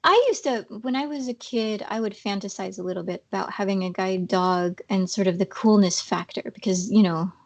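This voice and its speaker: A woman's voice: medium pitch, fairly quick, hardly any pauses. A woman guest on a podcast interview, explaining things casually with a slightly scratchy voice. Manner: explanatory, uses fillers.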